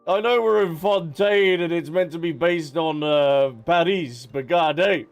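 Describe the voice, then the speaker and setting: A male game streamer with a fairly high voice chats casually to his viewers. He is cheeky and reactive, bantering over faint game music. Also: unhurried, clean tone. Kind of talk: casual livestream commentary with playful banter.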